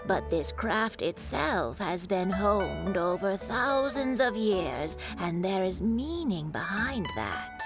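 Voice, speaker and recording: A woman voicing an older kite maker in a game, proud of her craft and speaking gently. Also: medium pitch, sing-song. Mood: gentle. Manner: gentle, slow, storytelling. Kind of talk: game voice acting. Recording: game cutscene with music.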